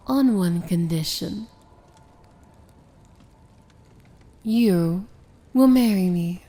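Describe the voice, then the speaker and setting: A woman playing a proud, dangerous evil princess in an ASMR fantasy romance roleplay, speaking softly and formally with a breathy, measured, regal delivery. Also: medium pitch, natural voice. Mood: solemn, resolute.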